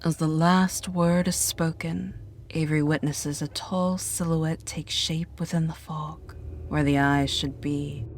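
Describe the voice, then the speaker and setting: A woman narrating a scripted horror story with a measured, dramatic delivery. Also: low voice, steady tone, slight background noise. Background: music.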